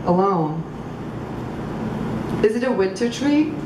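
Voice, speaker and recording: A woman from Croatia reading poems at a public event, halting and thoughtful between poems and measured while reading. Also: mid-range voice, lively intonation. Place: outdoor public venue. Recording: echoey, far from mic, street noise.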